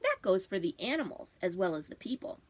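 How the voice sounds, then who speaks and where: A woman reading a story aloud in an expressive, animated storybook style. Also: mid-range voice, a little fast. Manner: animated, acting out dialogue. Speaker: librarian reading aloud to children.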